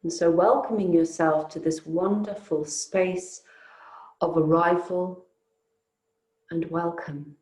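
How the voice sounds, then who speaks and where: Woman yoga teacher doing a relaxation, really mellow soft-toned voice, gentle and inviting, roomy echo. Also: on the low side, fairly even intonation. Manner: soothing, unhurried, gently inviting with long pauses. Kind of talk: guided relaxation meditation.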